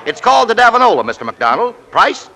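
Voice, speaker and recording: Smooth talking showy salesman in a 40s radio play, high voice, very up and down. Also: very animated, quick pace, bright, forceful tone, heavily acted. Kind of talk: 1940s radio acting.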